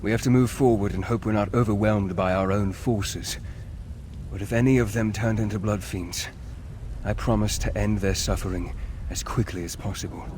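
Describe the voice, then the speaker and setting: Male actor as grave vampire count, low voice, solemn mentor, measured and formal, video game, clear background noise. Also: fairly even intonation, natural voice. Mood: regretful, resolute.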